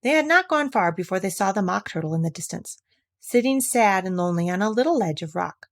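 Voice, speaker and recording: Creaky-voiced woman reading a storybook. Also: mid-range voice, lively intonation, brisk. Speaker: audiobook narrator.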